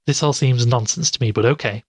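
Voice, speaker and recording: An actor playing a skeptical investigative podcast host in an audio drama, haltingly thinking aloud. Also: male voice, medium pitch, darkish tone, slightly rough, no room sound, studio quality. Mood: puzzled, skeptical. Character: skeptical male investigative show host puzzling over clues.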